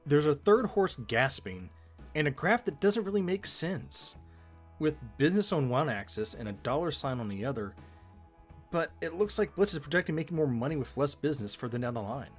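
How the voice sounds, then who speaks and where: A man co-hosting a conversational commentary on an animated episode, with an animated, bantering delivery. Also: fairly high pitch, normal speed, faint music behind. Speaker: co-host of fan commentary video.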